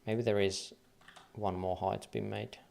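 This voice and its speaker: A man's voice: fairly low pitch, little pitch movement, unhurried, vocal fry, a little mellow. A man from Finland with a light Finnish accent, thinking aloud and counting waves under his breath as he goes through charts on a casual trading livestream.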